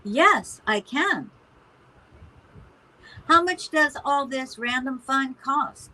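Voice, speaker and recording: A woman's voice: sits high, animated, very slow, sharp tone, full character voice, rough recording. A woman playing a frazzled, sardonic mother of a grown son, dry and exasperated, in naturalistic play dialogue. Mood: sardonic, indignant.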